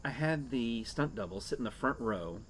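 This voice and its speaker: A man's voice: mid-range voice, animated, normal speed. An American man in his sixties chatting and telling stories casually as a podcast co-host. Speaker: podcast co-host, radio producer and director. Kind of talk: casual podcast chat and storytelling. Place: screened porch outdoors. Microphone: shared desktop condenser microphone on table. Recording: clear outdoor ambience noise, birds and insects.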